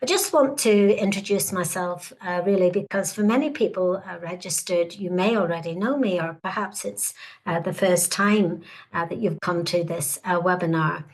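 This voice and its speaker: A woman's voice: lowish voice, moderate pace, poor audio. A woman in her sixties from Northern Ireland with a Northern Irish accent, hosting an online webinar in a hesitant, welcoming way full of fillers. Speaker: webinar host.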